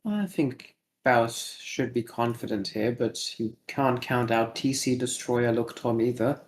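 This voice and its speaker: A man's voice: mid-range voice, a little slow. A man co-casting a strategy game tournament, chiming in often with short agreements. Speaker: co-caster. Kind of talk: casual game commentary.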